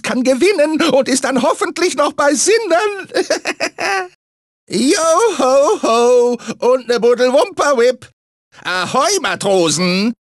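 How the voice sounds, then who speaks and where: A manic talking fruit mascot from a cartoon video game. The voice is very high and swoops all over, theatrical and grumpy under a sugary sales pitch. The sound is dry and close. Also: male voice, fairly bright tone, no echo, heavily acted. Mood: spooky, hammy. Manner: theatrical, swinging between sugary salesman and irritable grumbler. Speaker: video game character actor.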